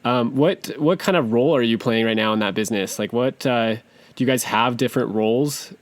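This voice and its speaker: A man co-hosting a podcast, asking friendly, halting questions in casual chat. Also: medium pitch, no room sound. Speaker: podcast co-host.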